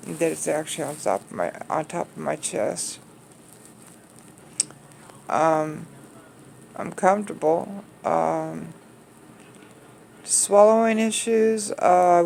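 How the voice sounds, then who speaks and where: A woman with a heavily gravelly, hoarse voice speaks very slowly, pausing often and filling gaps with "um". She talks casually from her recliner at home. A faint hiss sits under her voice. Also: low voice, darkish tone. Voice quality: raspy. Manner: slow, halting, many pauses and fillers. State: hoarse after surgery, wearing a soft neck collar. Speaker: vlogger recovering from neck surgery. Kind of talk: casual recovery vlog to camera.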